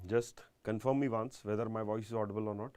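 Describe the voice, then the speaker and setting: A man with an Indian accent giving a live online exam-prep engineering lecture, speaking deliberately and emphatically and repeating key phrases. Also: fairly low pitch, fairly even intonation, a little mellow, clean tone, no echo.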